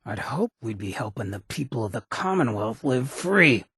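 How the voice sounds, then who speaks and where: An actor playing a swaggering, sardonic ghoul gangster companion in a video game, with a gravelly rasp and a wry, streetwise drawl. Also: male voice, medium pitch, big pitch swings, normal speed, fairly bright tone, no room sound, clean studio sound, heavily acted. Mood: annoyed, threatening. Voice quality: gravelly, raspy.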